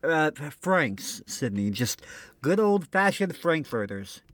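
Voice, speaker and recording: Animated man hosting a radio show. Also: on the high side, slow pace, dry recording. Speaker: host of an old-time radio show. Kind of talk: scripted comic banter between hosts.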